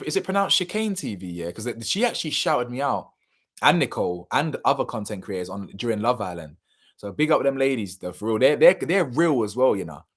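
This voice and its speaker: Slangy, slightly hoarse young man. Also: fairly low pitch. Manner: slangy, animated, laughs often, drifts between loud bursts and mumbles. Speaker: YouTuber on a live stream. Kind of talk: casual live chat reading viewer comments.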